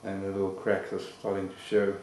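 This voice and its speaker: A man's voice: low voice, monotone, a little fast, darkish tone, reverberant, a little hiss or hum, poor audio. A man, an exchange student, talking wryly to the camera in a video diary.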